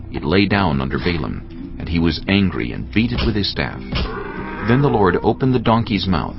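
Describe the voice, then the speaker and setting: A man reading scripted narration for a dramatised audio Bible. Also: low voice. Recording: dull audio under loud music.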